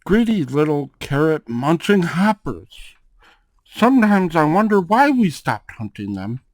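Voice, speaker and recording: Guy doing a casual cat boss, asmr roleplay, unhurried, dry close recording, says yeah? a lot. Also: on the high side, very animated, no echo. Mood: grumbling. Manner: casual, tags sentences with "yeah?". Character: laid-back male cat-person gang boss, grumbly, courteous.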